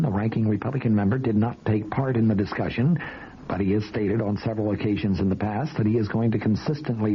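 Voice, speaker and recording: Male American news correspondent, 1970s radio style, low measured voice, muffled archival sound with faint noise. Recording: dull, noisy vintage radio broadcast.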